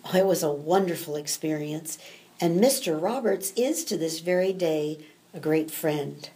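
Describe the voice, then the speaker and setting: An older woman with an American accent, a former actress reading her own life story in a measured, polished way. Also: on the low side, mellow. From United States. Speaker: retired actress telling her career story. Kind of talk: scripted autobiographical narration.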